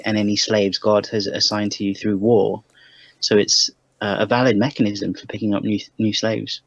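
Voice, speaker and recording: Lowish-voiced man, dry close sound. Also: dry recording, low-quality recording. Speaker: commentator on discussion show. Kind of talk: casual panel commentary, reading out texts.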